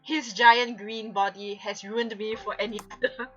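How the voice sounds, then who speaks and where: A woman's voice: mid-range voice, steady tone, unhurried, fairly bright tone, dull audio, soft background music. A woman giving a giggly, theatrical reading of fan fiction on a live stream, breaking off for casual asides.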